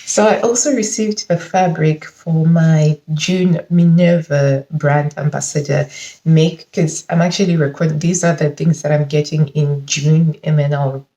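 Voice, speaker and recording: Brief: enthusiastic sewing vlogger, slightly bunged-up voice, lower-pitched, echoey home room. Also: female voice, unhurried. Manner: chatty, enthusiastic, animated with frequent laughs. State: slightly congested from hay fever. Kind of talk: casual fabric haul chat. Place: living room at home, indoors. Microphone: camera mic at close range.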